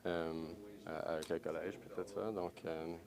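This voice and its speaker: A hockey player at a press scrum, answering in halting speech full of fillers and repeated words. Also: male voice, deep voice, steady tone, crowd noise. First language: English.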